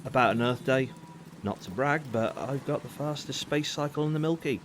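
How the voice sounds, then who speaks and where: An actor playing an unhinged, gleeful space smuggler in an audio drama, with a theatrical, oily, volatile delivery. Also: male voice, medium pitch, normal speed, background noise, natural voice. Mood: smug. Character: unhinged gleeful smuggler bounty hunter, a crooked father.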